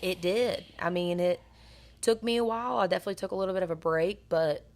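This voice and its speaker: Young female guest, raspy alto, earnest storyteller. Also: fairly low pitch, steady tone, fast talker, darkish tone. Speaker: guest singer. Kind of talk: casual interview chat.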